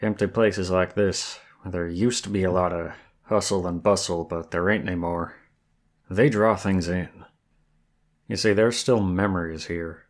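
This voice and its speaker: A man plays a friendly male werewolf guide with an easygoing Southern American drawl. His voice sits fairly low and moves very slowly. He is folksy and flirtatious, talking intimately to one listener as in an ASMR roleplay. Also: steady tone. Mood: calm, ominous.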